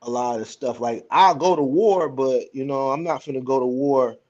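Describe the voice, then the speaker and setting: A man's voice: medium pitch, moderate pace, darkish tone, lo-fi sound. A man in casual conversation with a colloquial, slangy way of talking. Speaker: online trucking content creator. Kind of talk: casual chat.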